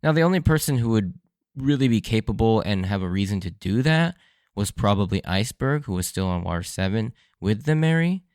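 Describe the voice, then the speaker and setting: A man hosting a solo podcast in a casual, chatty way, his voice a little hoarse and worn. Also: lowish voice, lively intonation, a little fast, a little mellow, no room sound, clean studio sound. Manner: conversational, with frequent fillers and light laughs. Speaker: podcast host. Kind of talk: solo podcast episode review.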